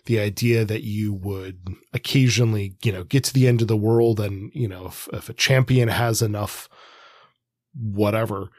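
A man with a fairly low voice, recorded dry and close. He talks as a podcast co-host offering analysis, feeling his way through each thought with pauses and qualifiers. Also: steady tone, a little mellow, no room sound. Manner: hesitant, measured, thinking aloud with qualifiers. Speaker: podcast co-host, comics expert. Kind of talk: conversational analytical discussion.

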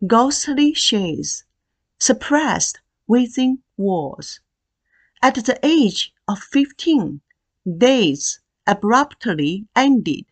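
A woman poet reading verse deliberately, pausing between short phrases. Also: fairly high pitch. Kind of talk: poetry reading.

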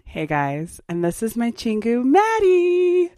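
A woman's voice: fairly high pitch, wildly swooping pitch, slow pace, mellow. A woman co-hosting a podcast, chatting casually in a playful, teasing way and laughing often. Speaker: podcast co-host. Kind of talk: casual chat.

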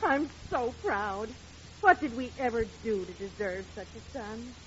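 Lively, high-voiced American radio mom. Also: female voice, unhurried. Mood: proud. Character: sensible, proud, supportive wife and mother. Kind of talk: old-time radio sitcom acting. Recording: muffled, noisy radio recording with crowd.

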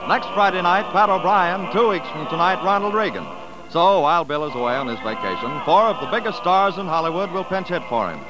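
A man's voice: sits high, expressive, brisk, over music, poor audio. An American man announcing a scripted show in 1940s radio style on a vintage recording.

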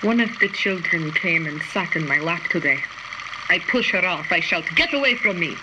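An actress playing a bitter, tormented woman scientist from Belarus, giving a brooding, deliberate confessional monologue in a radio drama. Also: lowish voice, lively intonation, close to an everyday voice. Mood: brooding, haunted. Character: bitter, tormented woman scientist, haunted former prison camp collaborator. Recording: very noisy, radio-like audio diary.

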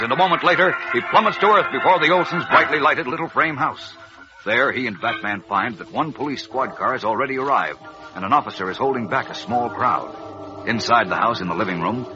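A man narrating a serial in a dramatic 1940s radio style. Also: medium pitch. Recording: muffled old radio with music behind.

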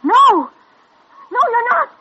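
An actress playing a terrified, hysterical young patient in a 1940s radio drama, screaming and crying. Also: female voice, extremely high pitch, big pitch swings, slow, very noisy, heavily acted. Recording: muffled, distorted old radio recording.

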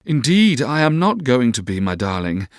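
A man voicing an earnest, ardent young Victorian gentleman in love, tender and exhorting, for an audiobook. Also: mid-range voice, big pitch swings, moderate pace, no echo, clean studio sound, pitched above the performer's own voice. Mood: gentle pleading. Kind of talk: audiobook character voice.

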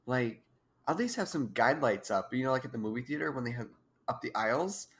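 A woman's voice: very deep voice, lively intonation, fairly quick. Young woman, congested, stuffy, casual chat, giggly, rambling podcast host.

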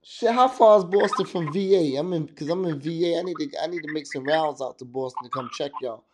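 A man hosting a podcast in a loud, slangy, casual hype-man style, often filling with "know what I'm saying". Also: on the high side, fairly quick, background noise. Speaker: podcast host. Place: Virginia.

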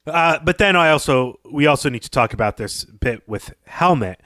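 A man's voice: on the high side, animated, normal speed, bright, forceful tone, dry recording. Analytical man, very dry podcast sound. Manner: thoughtful, analytical, informal. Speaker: podcast co-host. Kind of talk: conversational literary analysis.

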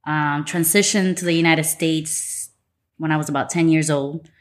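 A low-voiced woman in her thirties from Puerto Rico, guesting on a podcast. She talks frankly and chattily. Her voice sits well below most women's. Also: fairly even intonation, normal speed. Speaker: guest, business owner. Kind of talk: casual interview chat.

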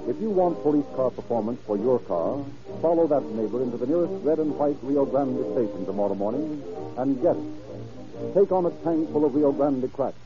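A man's voice: mid-range voice, lo-fi sound. An American man working as a 1930s radio announcer, reading scripted narration in a formal, declamatory way. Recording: old 1930s radio broadcast recording.

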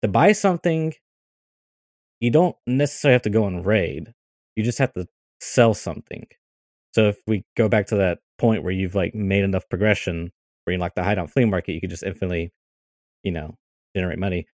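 A man with a very low voice talks in a relaxed, chatty way as co-host of a gaming podcast. The sound is dry, with no room in it. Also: a little fast, no echo. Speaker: podcast co-host, video editor. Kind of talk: casual chat.

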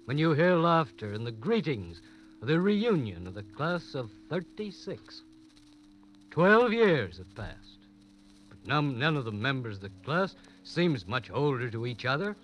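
A man hosting and narrating an old radio mystery show in a measured, formal manner. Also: medium pitch, fairly bright tone, muffled recording, slight background noise. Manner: measured, formal storytelling delivery. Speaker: host and narrator. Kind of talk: 1940s radio drama narration. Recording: old 1940s radio broadcast.

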